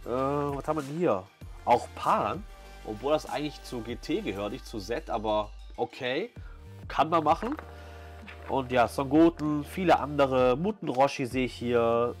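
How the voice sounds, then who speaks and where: A man hosting a vlog in casual, enthusiastic commentary, addressing viewers like friends. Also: fairly high pitch. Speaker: YouTube host, flea market collector.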